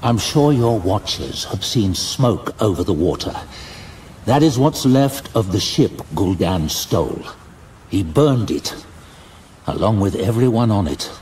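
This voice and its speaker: A man's voice: lowish voice, a little slow, far from the mic, a little hiss or hum. A man doing a dry-witted old archmage. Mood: grave, urgent. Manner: light, dry, calm under pressure. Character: wry, determined older male archmage. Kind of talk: audiobook character voice.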